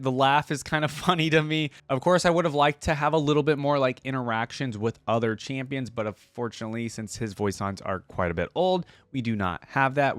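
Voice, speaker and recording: A man gives casual reaction commentary as a video host. His pitch is average and his sound is dry, with an informal, easily amused way of talking. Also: no echo.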